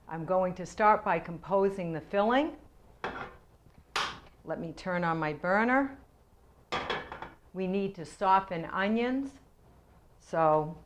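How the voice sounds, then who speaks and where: A woman hosting a cooking show from her kitchen, chatty and homey as she explains each step. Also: fairly low pitch. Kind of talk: home cooking demonstration. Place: home kitchen.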